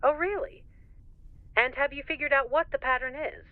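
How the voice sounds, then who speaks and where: A woman's voice: high-pitched, steady tone, normal speed. A woman playing an inquisitive radio interviewer with a polished, probing delivery, through a radio filter. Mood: curious, polished. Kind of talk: broadcast interview acting. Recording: tinny, band-limited radio broadcast sound.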